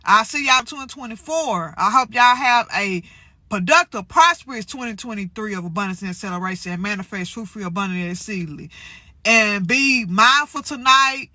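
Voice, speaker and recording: A woman's voice: mid-range voice, animated, fairly quick, pushed and bright, no room sound, studio quality. A woman hosting a spiritual channel, talking casually and bluntly to her viewers with slang and profanity, sounding a little congested. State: congested from allergies.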